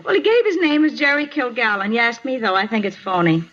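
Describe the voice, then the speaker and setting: A woman's voice: on the high side, animated, fast, fairly bright tone. A woman playing a nosy, self-righteous American manager of a cheap hotel in a 1950s radio drama, folksy and insistent. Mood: firm. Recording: dull, noisy 1950s radio recording.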